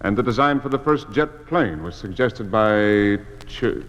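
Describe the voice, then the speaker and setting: An actor playing a principled, defiant museum official in an old-time radio drama, with an earnest, biting, theatrical delivery. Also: male voice, lowish voice, lively intonation, unhurried, fairly bright tone, dull audio. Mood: measured, wary. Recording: old radio recording, long hall reverb.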